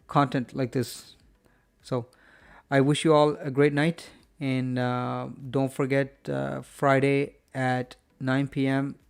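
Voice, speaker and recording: A man's voice: medium pitch, a little mellow, clean tone. A man hosting a live stream from home, wrapping up the show in a rambling, friendly chat full of fillers and restarts. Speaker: host.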